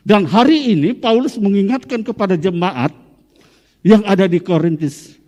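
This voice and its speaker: Emphatic preacher from Medan, church reverb. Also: male voice, high voice, moderate pace, a little mellow. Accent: Medan Indonesian. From Medan, North Sumatra, Indonesia. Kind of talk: sermon to a congregation. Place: church hall. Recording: long church-hall reverb.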